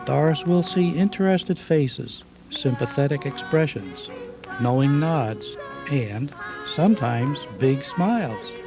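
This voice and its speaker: A male narrator delivers a scripted introduction to a performance. His voice sits a little high for a man, with music playing clearly behind him. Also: low-quality recording.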